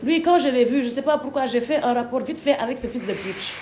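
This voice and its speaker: A high-pitched woman from Côte d'Ivoire tells a winding personal story, swearing freely as she goes. Her voice bounces around a roomy space. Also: animated, brisk, darkish tone, a little hiss or hum. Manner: crude, swearing, wandering storytelling. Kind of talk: rambling live rant. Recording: roomy echo.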